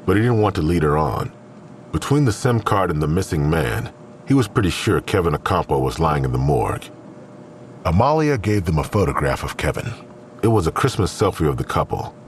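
Very deep-voiced male crime-drama narrator. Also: very animated, brisk, a little hiss or hum.

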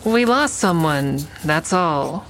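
A woman's voice: low-pitched, animated, slow pace, slight background noise, heavily acted. An actress playing a curious young woman who narrates her story in an intimate, wry way in an audio drama. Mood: subdued. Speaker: narrator and lead character. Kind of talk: audio drama first-person narration and natural dialogue. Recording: faint music behind the voice.

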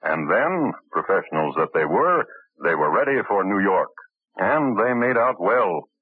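A man's voice: sits low, big pitch swings, unhurried, slightly rough, dry recording, exaggerated voice. A man narrating a crime story with a wry, storytelling manner in an old radio drama. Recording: tinny old radio broadcast, faint hiss.

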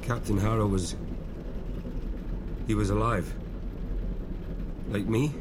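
A man playing a shell-shocked lone survivor astronaut in audio fiction, stammering and halting as he speaks. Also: on the low side, steady tone. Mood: subdued, shaken. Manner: stammering, halting, breathless pleading. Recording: loud vehicle ambience noise behind.

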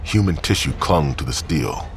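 A man narrating a crime drama in a grave, measured tone. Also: extremely low pitch, expressive, slight background noise. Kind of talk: scripted narration.

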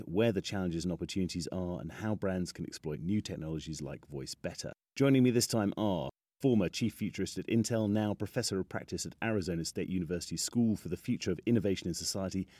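A man's voice: low voice, a little mellow, no room sound, studio quality. A man presenting a podcast with a scripted introduction. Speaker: podcast host.

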